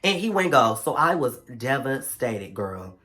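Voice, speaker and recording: A young man with a stuffy, congested voice, telling a story in a casual vlog in an animated, slangy and playful way. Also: mid-range voice, unhurried. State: congested from a cold. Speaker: vlogger.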